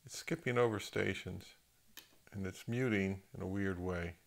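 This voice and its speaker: A middle-aged man with a fairly low voice talks through a hands-on demonstration of audio equipment. His delivery is casual and unscripted, halting now and then as he thinks aloud. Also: vocal fry. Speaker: host of an audio gear review channel.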